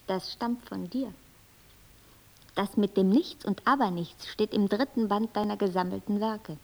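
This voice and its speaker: A woman's voice: medium pitch, unhurried, low-quality recording. A woman playing a cool schoolboy in a radio play, with a dry, teasing manner. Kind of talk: radio drama acting.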